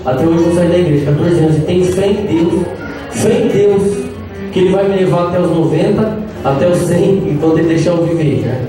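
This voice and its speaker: A man in his thirties with a Brazilian Portuguese accent, a lay preacher giving a lively live sermon and playfully calling out to the crowd. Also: high voice, fairly quick. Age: 30s. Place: large echoey prayer-group hall, live event. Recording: very echoey hall, distant mic, music behind.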